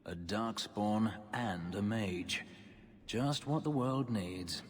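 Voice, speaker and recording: Husky, brooding male warrior. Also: fairly low pitch, slow. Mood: bitter, sardonic. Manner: sardonic, disapproving. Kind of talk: fantasy video game acting. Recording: long hall reverb.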